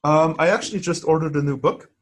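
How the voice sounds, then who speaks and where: A man's voice: a little high, fast. A man co-hosting a podcast in casual chat, rambling with lots of fillers. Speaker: podcast co-host.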